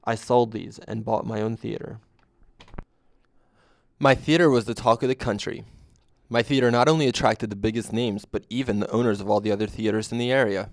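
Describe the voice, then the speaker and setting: A man narrating a scripted first-person story in a deadpan way. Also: fairly low pitch, fairly quick, no room sound, studio quality.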